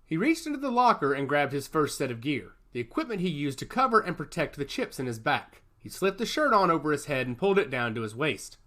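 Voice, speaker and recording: A man's voice: on the high side, sing-song, a little fast, few pauses, bright, forceful tone. A man reading scripted fiction as a narrator in a dramatic storytelling style. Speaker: audiobook narrator.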